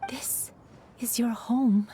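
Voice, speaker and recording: A woman voicing a gentle, sorrowful elderly grandmother who speaks softly and tenderly, weighed down with remorse. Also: on the high side, steady tone, slow, slight background noise. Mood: pleading. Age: older. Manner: tender, soft-spoken, remorseful. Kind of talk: video game voice acting.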